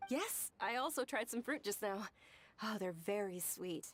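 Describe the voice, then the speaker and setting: A woman's voice: medium pitch, lively intonation, unhurried, slight background noise, natural voice. A woman playing a sweet, polite, cheerful young lady in a video game, with a gushing manner. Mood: delighted. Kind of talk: video game character dialogue.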